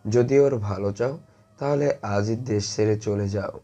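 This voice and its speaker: A man voices a stern middle-aged father for an audio story. The voice is low and dark, grave in manner. The sound is dull and muffled. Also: a little slow, lightly acted.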